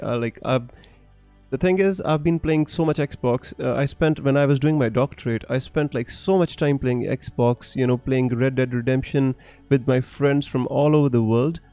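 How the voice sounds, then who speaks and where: A young man from Punjab with a blended accent that is hard to place talks loosely through a live stream, reading out comments and restarting his sentences. His voice is warm and mellow, sitting in the middle of the male range. Faint music plays underneath. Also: mid-range voice, no room sound. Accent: mixed, hard to place. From Punjab, India. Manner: chatty, frequent fillers and restarts, laughs often. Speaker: fantasy football streamer.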